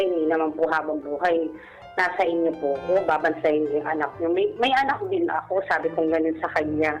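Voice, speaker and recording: A woman's voice: lowish voice, low-quality recording. Polite Filipino woman telling her story. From Philippines. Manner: polite, deferential. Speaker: radio show caller, former overseas domestic worker. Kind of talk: personal storytelling in casual interview. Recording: music bed behind voice. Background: background music.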